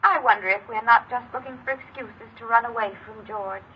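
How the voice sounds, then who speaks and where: A woman's voice: on the high side, brisk, natural voice. An actress playing an anxious, emotionally distant middle-aged mother with a clipped, formal manner in an old radio crime drama. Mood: worried, doubting. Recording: muffled, noisy old radio recording.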